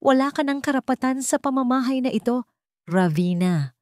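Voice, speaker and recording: A woman's voice: high-pitched, lively intonation, moderate pace, darkish tone, no echo, clean studio sound, voice pushed up. A woman voicing a cold, commanding, contemptuous ex-husband in an audio romance story. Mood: cold contempt.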